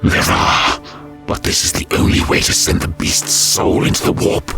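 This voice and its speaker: A man voicing a grim, vengeful reptilian alien warrior in a science fiction audio story, with a harsh, low, gravelly voice and solemn, deliberate delivery. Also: pushed and bright, faint music behind, natural voice. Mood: grim, resolute. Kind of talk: dramatic audio story acting.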